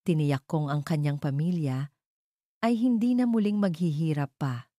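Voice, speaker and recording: Woman narrating a dramatic story, deep dark soft-toned voice, measured reading. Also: dry recording, studio quality. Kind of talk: scripted first-person story narration, dramatic.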